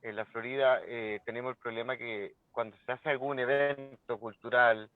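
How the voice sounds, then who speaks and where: A man speaking on a panel in a hesitant, halting way with many fillers. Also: medium pitch, steady tone, no room sound, soft background music. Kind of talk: panel discussion. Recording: dry, tinny phone-like line.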